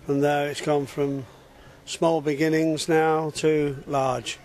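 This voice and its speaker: A man's voice: on the high side, level tone, slow, darkish tone, a little hiss or hum. A man from England introducing himself as a re-enactor in a casual interview, plain and matter-of-fact.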